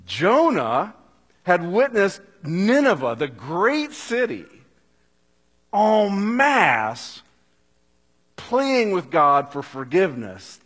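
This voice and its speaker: A man preaches slowly and earnestly, his voice a little raised in pitch and slightly husky. He swings from loud emphasis down to quiet, hushed lines. A long hall reverb hangs around every phrase. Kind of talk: sermon.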